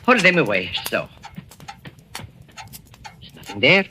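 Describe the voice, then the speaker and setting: An actor playing an oily, ingratiating fixer with a Spanish accent in an old radio drama. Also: male voice, medium pitch, huge pitch swings, slow pace, sharp tone, exaggerated voice. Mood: sly. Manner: smooth, ingratiating, sly. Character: sly, oily Latin American fixer. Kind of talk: 1940s radio acting. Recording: old radio recording with faint hiss.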